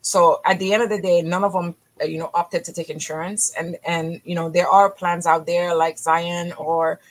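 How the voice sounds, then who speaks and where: A middle-aged woman from Haiti with a notably low voice, speaking as a physician guest on a podcast. She talks with energy and emphasis, rushing in quick bursts and often restarting her phrases. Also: level tone. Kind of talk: podcast interview.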